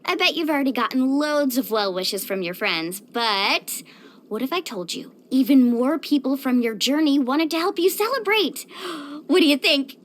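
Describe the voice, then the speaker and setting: A woman voicing an upbeat, caring, sporty young surfer in a video game, speaking in a peppy, expressive, friendly way. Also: sits high, moderate pace. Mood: excited, celebratory. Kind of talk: scripted video game voice acting.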